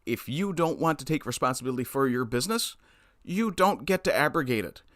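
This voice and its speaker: Man, livestream commentator, blunt, swearing, ranting, bright voice, dry sound. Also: mid-range voice, animated, a little fast, no room sound. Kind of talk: casual political commentary, reading chat.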